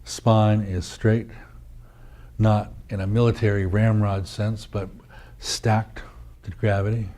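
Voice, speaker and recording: A man teaching guided meditation, speaking in a measured, deliberate way with pauses between short phrases. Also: on the low side, flat delivery, dark tone.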